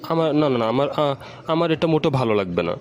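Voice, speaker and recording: Lively, earnest schoolboy son acted by a man. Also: on the high side, fairly quick, a little hiss or hum, lightly acted. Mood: earnest, insistent. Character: dutiful, affectionate schoolboy son. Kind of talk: amateur educational cartoon dialogue.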